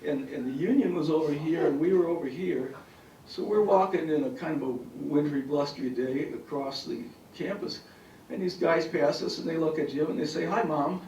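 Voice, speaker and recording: A retired professor in his later years speaks with a raspy, hoarse voice. He rambles through stories full of fillers. The sound is echoey and comes from across the room. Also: a little high, normal speed, warm tone, recorded from a distance, muffled recording, a little hiss or hum. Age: older. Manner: rambling anecdotes with frequent fillers. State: hoarse from bronchitis. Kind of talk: speaking at a farewell event. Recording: echoey hall recording from afar.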